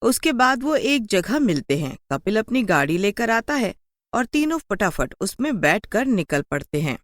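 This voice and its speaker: A woman's voice: fairly low pitch, dry recording, studio quality. A woman narrating a scripted horror story as a storyteller.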